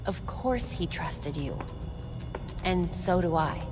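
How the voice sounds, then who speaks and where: An actress playing a level-headed young female crime-fighter in a video game, frank, practical and steady. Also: lowish voice, slow, over music. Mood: earnest, reassuring. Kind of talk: dramatic video game acting.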